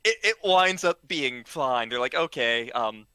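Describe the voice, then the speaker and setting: Male storyteller, casual and comic, highish bright voice, animated, halting delivery. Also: clear, resonant tone, no room sound. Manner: halting and stammering, acts out dialogue. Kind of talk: casual comic anecdote told to friends.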